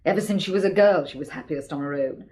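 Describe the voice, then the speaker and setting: A frank, sardonic woman speaks plainly about her sister, voiced by an actress in a radio drama. Her voice sits low for a woman. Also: fast talker, a little mellow, close to an everyday voice. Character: blunt worldly woman, sister of murdered woman.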